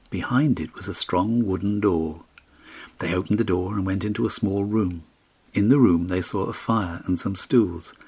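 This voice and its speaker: Male audiobook narrator, fairly low and slightly rough, telling a fairy tale with expression.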